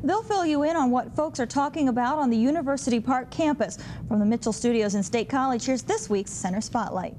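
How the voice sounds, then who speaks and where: High-voiced female morning news anchor. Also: animated, brisk, a little mellow, a little hiss or hum. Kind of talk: scripted anchor links.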